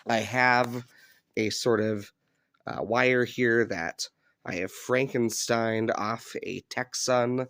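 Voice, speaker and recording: A man giving a casual, unscripted hobby demonstration in a low-key, hesitant, conversational way. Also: a bit bright, no echo, studio quality. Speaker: hobbyist video presenter. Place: indoors in an upstairs room.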